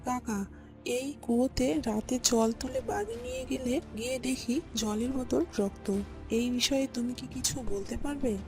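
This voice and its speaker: A woman voicing an excitable young male villager in cartoon story dialogue, urgent and exclaiming. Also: fairly high pitch, measured pace, music in the background. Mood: worried.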